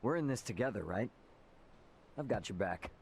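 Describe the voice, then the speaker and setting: A man's voice: fairly low pitch, expressive, moderate pace, creaky, a little hiss or hum. An actor playing a young male hero who talks bluntly and casually in a video game. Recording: game cutscene with music behind.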